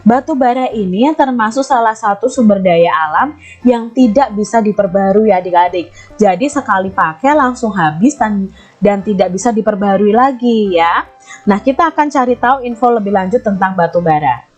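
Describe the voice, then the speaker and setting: An Indonesian-accented woman teaches young children in an online lesson, her voice at a medium pitch. She explains things step by step and encourages her pupils with frequent questions. Faint music plays behind her. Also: expressive, normal speed, a little mellow. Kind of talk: upbeat primary-school lesson, explanatory.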